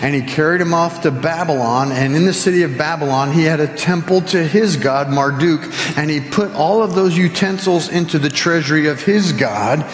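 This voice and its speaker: A man in his fifties preaching a sermon from a church stage, gesturing and slowing down to stress key phrases. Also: on the high side. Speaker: pastor. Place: church stage, large hall. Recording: echoey hall with music behind.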